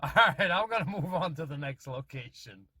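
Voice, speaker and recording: A man's voice: on the high side, lively intonation, brisk, pushed and bright. A man giving a casual radio-testing demonstration as a hobbyist, talking in check-ins. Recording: noisy CB radio transmission at times.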